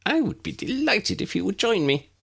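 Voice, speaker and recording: A man voicing a squeaky, courteous and cheery little male wizard professor for a storybook. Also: sing-song, moderate pace, creaky, fairly bright tone, slightly rough, full character voice. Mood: cheery welcoming. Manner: polite, genteel.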